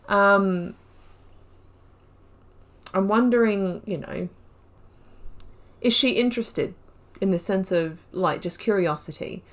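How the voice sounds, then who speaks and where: A woman giving chatty let's-play commentary, thinking aloud with many fillers. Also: mid-range voice. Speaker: gaming YouTuber.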